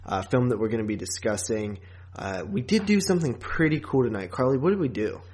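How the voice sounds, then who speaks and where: A man's voice: medium pitch, very animated, a little fast, darkish tone. A man chatting casually and with a fan's enthusiasm as a podcast co-host about horror films.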